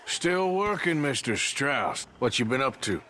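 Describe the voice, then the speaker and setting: A tired outlaw gunman from a video game, terse and dry. The tone is bright and forward, and his low-key remarks still move noticeably up and down in pitch. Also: very animated, unhurried, slight background noise. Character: weary, laconic male outlaw gunman. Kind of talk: video game cutscene acting.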